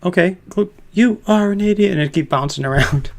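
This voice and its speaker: Man in his fifties, gravelly, slightly high-pitched, rambling livestream host, laughs a lot. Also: fairly quick. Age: 50s. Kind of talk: casual livestream chat.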